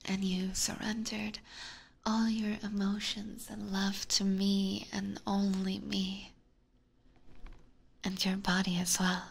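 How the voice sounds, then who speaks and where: A woman voicing an adoring, possessive vampire catgirl with sweet menace, whispering slowly and tenderly in an ASMR roleplay. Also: mid-range voice, flat delivery, heavily acted. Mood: devoted, yearning. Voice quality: breathy whisper. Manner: slow, tender, coaxing.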